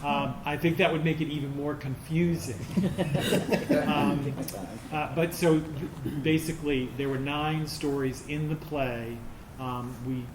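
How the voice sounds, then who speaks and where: Halting older man in an echoey room. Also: a little high, steady tone. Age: middle-aged or older. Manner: halting, searching for words. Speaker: theater director answering audience questions. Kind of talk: informal post-show Q&A. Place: roomy indoor performance space. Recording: echoey room with clear background noise.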